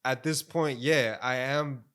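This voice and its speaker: A man in his twenties with a middle-pitched voice. He talks as a podcast guest in loose, slangy, often profane language, making his points candidly and with emphasis. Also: steady tone, slow pace, a bit bright, smooth. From San Diego, United States. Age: 20s. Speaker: podcast guest, music artist. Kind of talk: casual podcast chat.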